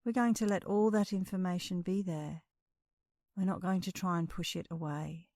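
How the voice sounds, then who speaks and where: A woman leading a guided meditation as an instructor, speaking in a calm, soothing, measured way with long pauses. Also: fairly low pitch, dry recording, clean studio sound.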